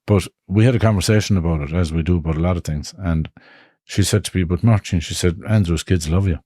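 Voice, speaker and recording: A man in his fifties with an Irish accent from Connemara, telling his life story in a reflective, halting way. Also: deep voice, mellow, no room sound, clean studio sound. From Ireland. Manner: reflective, halting, with frequent restarts. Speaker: guest. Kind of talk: personal life story in conversation.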